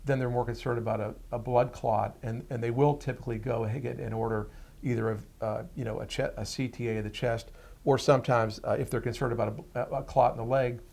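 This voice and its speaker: Male interview guest, mature physician with noticeable vocal fry, explaining clearly in everyday terms. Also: mid-range voice, steady tone, a little fast, a little mellow.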